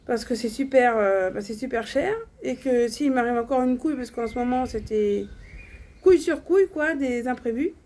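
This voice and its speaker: A woman's voice: fairly high pitch, moderate pace, a little mellow. A young woman talking casually and bluntly to her viewers, with many fillers and restarts. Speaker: vlogger. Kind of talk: casual personal vlog monologue.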